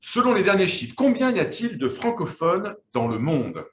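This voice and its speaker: A man hosting a live quiz, lively and chatty, slowing down to build suspense. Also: high voice, reverberant. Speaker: quiz show host.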